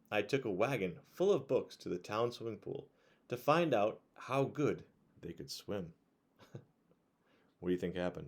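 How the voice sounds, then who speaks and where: A man reading a storybook aloud to children in an expressive way, pausing to put questions to his young listeners. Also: on the low side, vocal fry. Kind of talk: read-aloud storytelling to children, with conversational asides.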